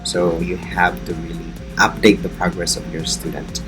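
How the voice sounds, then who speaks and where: A man's voice: low voice, flat delivery, normal speed, over music. A man with a Filipino accent, an online English teacher talking earnestly and encouragingly about his lessons. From Philippines. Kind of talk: casual teaching vlog.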